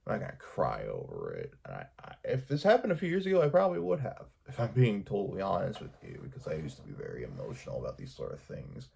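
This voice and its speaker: A man talking casually to his viewers in a personal vlog, hesitant and halting, often repeating his words. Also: deep voice, huge pitch swings, vocal fry, a little mellow, breathy edge. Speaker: video creator talking to viewers.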